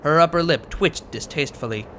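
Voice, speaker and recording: Higher-pitched male audiobook narrator. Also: fairly quick, bright, forceful tone, a little hiss or hum. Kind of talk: scripted story narration.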